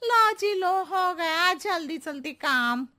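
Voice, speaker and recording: A woman's voice: extremely high pitch, animated, slow pace, sharp tone, heavily acted. A woman voicing a silly, chatty cartoon housemaid who talks playfully in roundabout riddles. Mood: cheeky. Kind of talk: exaggerated Hindi cartoon voice acting.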